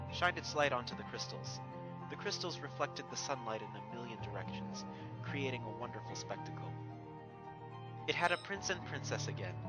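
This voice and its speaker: A man who reads fan fiction aloud, in casual introductions and narrated story passages. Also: medium pitch.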